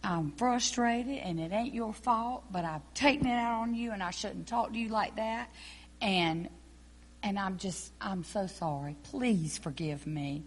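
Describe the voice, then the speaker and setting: A woman's voice: mid-range voice, a little slow, breathy edge. A woman playing a frustrated church singing teacher who prays aloud with emotional pleading, in a folksy dialect. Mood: remorseful. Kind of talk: acted drama scene.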